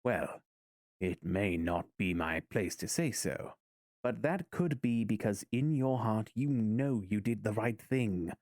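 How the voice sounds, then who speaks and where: An actor playing a dutiful, courteous butler and confidant in an audio drama, speaking formally and with measured words. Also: male voice, on the low side, animated, a little mellow, dry recording, studio quality. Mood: courteous, reassuring.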